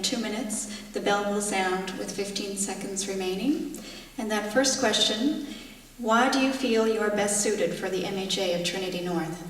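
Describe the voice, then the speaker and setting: A woman runs a candidates' debate in a plain, halting way, with fillers between her instructions. She sounds far from the mic, and the big hall's echo lingers. Faint noise sits underneath. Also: mid-range voice. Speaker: debate moderator. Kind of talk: hosting a debate. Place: large events hall. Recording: echoey hall, distant mic, faint noise.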